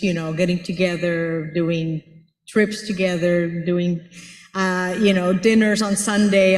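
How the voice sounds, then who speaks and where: A woman from Rico, speaking earnestly to an audience and searching for her words as she answers a question. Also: lowish voice. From Puerto Rico. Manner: earnest, searching for words, builds ideas with everyday examples. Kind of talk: unscripted conference talk. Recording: echoey hall with long reverb.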